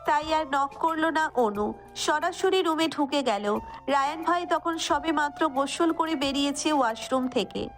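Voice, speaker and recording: A woman's voice: high voice, unhurried, soft background music. A woman narrator reading a scripted romantic story.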